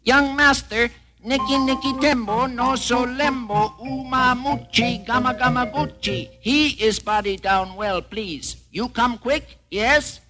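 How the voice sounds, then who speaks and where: A man voicing a timid, servile musician who speaks politely in high, broken phrases, read as a storybook. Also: a little slow, music in the background. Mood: pleading. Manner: high deferential broken speech.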